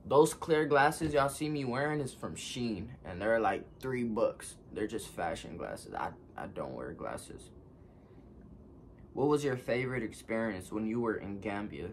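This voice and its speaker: Laid-back young man, lowish voice. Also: measured pace, slight background noise. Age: 20s. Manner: relaxed, reads questions aloud then answers offhand. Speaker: content creator answering fan questions. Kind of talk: casual Q&A vlog. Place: living room, seated on a couch.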